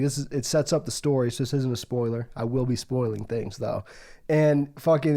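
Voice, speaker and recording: Young american guy on a podcast, kinda high but mellow voice, jokey and slangy. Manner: joking, slangy, laughs often. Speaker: podcast co-host. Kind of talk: casual chat and storytelling.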